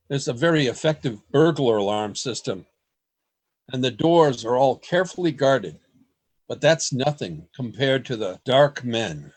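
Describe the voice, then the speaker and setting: An earnest, anxious man acted by a man tells his story as if from a diary in a radio drama. His voice is rough-edged and unhurried. The pitch moves widely, giving it a theatrical, deliberate feel. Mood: tense, secretive. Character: earnest, anxious man trapped among secret store dwellers. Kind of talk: radio play acting with diary narration.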